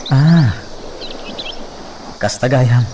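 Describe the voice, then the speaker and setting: A man with an Ilocano accent playing a playful, romantic young gentleman from a landowning family in a radio drama. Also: medium pitch, drawn-out words, background noise. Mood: admiring, relaxed.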